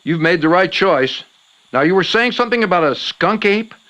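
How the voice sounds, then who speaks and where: A man playing a gullible, theatrical late-night paranormal radio host in a comedic audio drama, quick to indignation. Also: sits high, expressive, a bit bright, dull audio. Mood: solemn sympathy.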